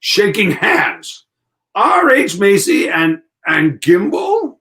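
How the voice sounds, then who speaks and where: A man performs a middle-aged store tycoon for a forties radio play. He is blustery and shrewd, and his delivery swoops widely between low and high. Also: wildly swooping pitch, takes it slowly, creaky, sharp tone, full character voice. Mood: incredulous. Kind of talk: 1940s radio acting.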